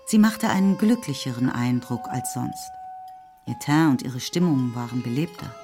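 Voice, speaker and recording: A woman giving scripted literary narration as the narrator of a radio drama. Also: low voice.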